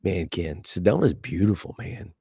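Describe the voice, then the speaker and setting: A man's voice: sits low, expressive, moderate pace, creaky, dark tone, slightly rough, dry recording, studio quality. A man hosting a podcast, upbeat and friendly in casual banter. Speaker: podcast host.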